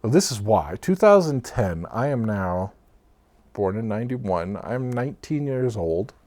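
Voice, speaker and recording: A man in his thirties casually telling his own story for a vlog, rambling and laughing as he goes, often trailing off softly, sometimes a little off his own mic. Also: lowish voice, sing-song, unhurried. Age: 30s. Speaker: vlogger. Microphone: own mic, off-axis at times.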